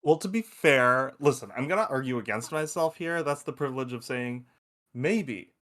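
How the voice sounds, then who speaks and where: A man's voice: medium pitch, normal speed, a bit bright, close to an everyday voice. A man playing an argumentative, folksy space probe in a science fiction table read. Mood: argumentative, musing. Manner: folksy, irreverent, emphatic.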